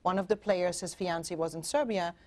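A woman's voice: on the low side, a little fast, a little mellow. A woman in her forties from Germany with a German accent, a guest on a TV studio talk show, speaking earnestly with frequent restarts. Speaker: guest relationship coach. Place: TV studio set.

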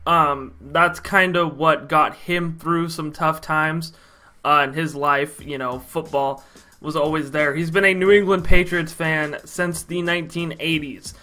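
A young American man with a fairly high, bright voice talks casually to his viewers. He is a chatty storyteller who addresses them like a showman, recorded at home in a small room. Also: steady tone, a little slow. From Idaho, United States. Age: young adult. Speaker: vlogger telling personal stories.

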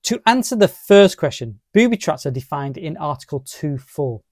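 A middle-aged man presenting a scripted legal explainer in a formal, deliberate manner. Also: a little high, sing-song, darkish tone, no room sound, studio quality. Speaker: presenter of legal education video.

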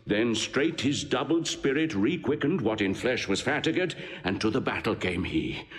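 An actor playing a grave, ardent Roman general praising a warrior in measured, declamatory Shakespearean verse. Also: male voice, medium pitch, natural voice. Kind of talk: classical Shakespearean stage acting. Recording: long hall reverb.

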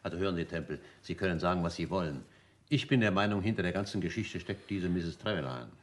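Low-pitched sleuth, blunt, opinionated, suspicious, old radio detective play. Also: male voice, lively intonation, quick pace. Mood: assertive. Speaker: actor in a detective radio drama. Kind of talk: vintage German radio play acting.

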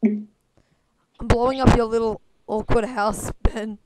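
Croaky-voiced young gamer, noisy mic. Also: male voice, high-pitched, little pitch movement, measured pace. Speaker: video recorder and server mod. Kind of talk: casual gaming chat.

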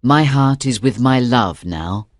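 A woman voicing a defiant, demanding young fisherman in a storybook, with a forceful, emphatic delivery. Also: a bass voice, lively intonation, slow pace, no room sound, studio quality, voice pushed down, full character voice. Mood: defiant, dismissive. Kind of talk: dramatic storybook character reading.

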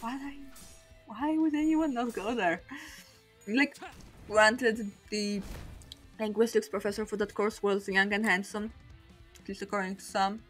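A woman's voice: mid-range voice, fairly even intonation, a little slow, long pauses, fairly bright tone, soft background music. Chatty young woman with a Polish accent. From Poland. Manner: rambling, self-mocking, laughs often between thoughts. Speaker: streamer. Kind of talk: casual chat over gameplay.

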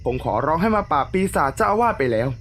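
A man voicing an earnest, concerned, plain-spoken male character in an animated ghost story. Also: a little high, quick pace, slight background noise.

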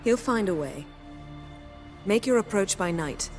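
An actress playing a shrewd, commanding sorceress in a video game, brisk and authoritative in her delivery. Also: mid-range voice, expressive, darkish tone, soft background music, lightly acted. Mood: reassuring, instructing.

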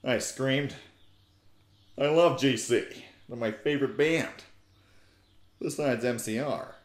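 Narrator: man, twenties, mid-pitched, theatrical and mock-serious storytelling, recorded at home with audible room. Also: slow pace, echoey room. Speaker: narrator, YouTube host. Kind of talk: theatrical comic fan fiction reading. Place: small living room, indoors. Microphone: desktop USB microphone close by.

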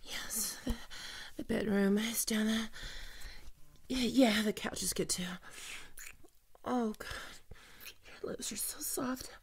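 A woman playing a flustered, flirty team leader in an ASMR roleplay, speaking haltingly and intimately to the listener with heavy vocal fry. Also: fairly high pitch, fairly even intonation, full character voice. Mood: breathless, seductive. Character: flustered, flirty female team leader falling for a new coworker. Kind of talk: ASMR roleplay audio drama, one-sided conversation.

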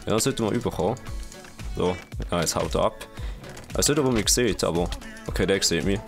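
A young man from Switzerland with a Swiss German accent, casually commenting live on his own gameplay, excitable and full of fillers. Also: low-pitched, creaky, soft background music. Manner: casual, excitable, many fillers and quick reactions. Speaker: gaming channel host.

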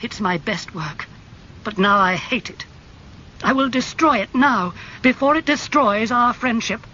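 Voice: mid-range voice, unhurried, sharp tone, dull audio, a little hiss or hum, voice pushed up. A reader doing an earnest, wounded painter.

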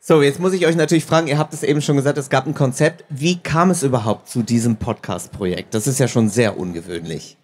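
A man with a slightly husky voice moderating a casual live interview, putting questions to guests. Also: mid-range voice, fast talker, few pauses, a little hiss or hum. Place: small stage at a book fair. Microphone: handheld microphone.

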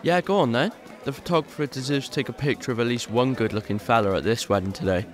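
An actor playing a blunt, teasing, protective older brother. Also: male voice, mid-range voice, quick pace, soft background music, some chatter behind, lightly acted. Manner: blunt, mocking. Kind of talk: audio drama acting.

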